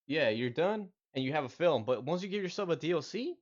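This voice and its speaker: A man hosting a gaming reaction video, excitedly commenting over clips and calling everyone "dude". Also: on the high side, fairly quick, lo-fi sound. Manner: excitable, talks over the clip, says "dude" often. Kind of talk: casual live reaction commentary.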